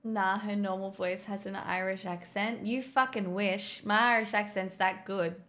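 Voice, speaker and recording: A woman's voice: medium pitch, fairly even intonation, normal speed, a bit bright. A young woman streamer chatting casually and rambling to her viewers. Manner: chatty, rambling, talks to chat.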